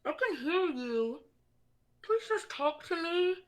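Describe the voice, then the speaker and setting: A woman's voice: very high voice, sing-song, takes it slowly, voice pushed up, full character voice. A woman playing a frightened young captive who pleads urgently and desperately in a dramatic script reading. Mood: pleading, scared.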